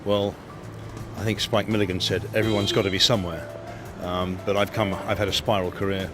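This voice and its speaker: A man, an antique dealer and association co-chair, speaking in a measured, articulate way. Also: low-pitched, level tone, over music. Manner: measured, articulate, many fillers. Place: outdoor street.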